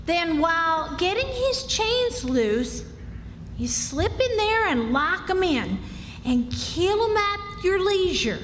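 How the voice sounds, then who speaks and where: Woman as very high, fretful American country housewife. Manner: fretful, theatrical. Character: Aunt Sally, anxious, excitable country housewife. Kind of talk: live radio drama acting. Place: historic club hall, live performance. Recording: echoey hall, faint background noise.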